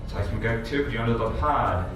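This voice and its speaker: A man's voice: fairly low pitch, a little fast, natural voice. Man acting an echo-drenched field operator reporting. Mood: urgent. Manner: terse technical reporting. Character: male field operator relaying urgent telemetry reports. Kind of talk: sci-fi audio drama acting. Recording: very echoey, distant, music underneath.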